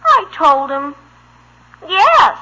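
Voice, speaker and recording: An earnest little girl in a vintage radio sitcom. Her voice is very high and bright, with lively swings. She tells things in an artless, halting way. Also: exaggerated voice. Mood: innocent, sheepish. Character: guileless young daughter Kathy, earnest little girl. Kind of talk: 1950s radio sitcom acting. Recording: tinny old radio recording with hum.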